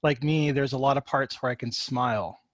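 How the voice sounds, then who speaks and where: A man's voice: mid-range voice, fairly even intonation, moderate pace, no room sound. A man hosting a podcast interview, asking questions in a rambling way with restarts. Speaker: podcast host.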